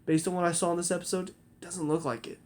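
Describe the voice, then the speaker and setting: A man's voice: sits high, level tone, fast, darkish tone. A man giving a casual spoken review of a TV episode, critical and rambling, often restarting his sentences.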